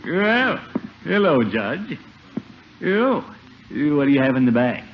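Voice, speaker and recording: Blustery, aggrieved American uncle, middle-aged, radio sitcom grumbler, slow and animated, noisy vintage recording. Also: male voice, a little high, lo-fi sound, full character voice. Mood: casual, genial. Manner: grumbling, theatrical exclamations. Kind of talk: 1950s radio comedy acting.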